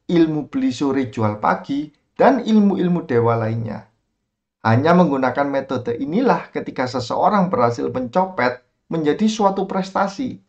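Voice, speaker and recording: An Indonesian man narrates an explanatory tale in an informal, expressive style. His slightly high voice swings widely in pitch and often drops into creaky fry. The recording sounds a little dull. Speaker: narrator and content creator. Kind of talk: scripted storytelling narration explaining stock investing.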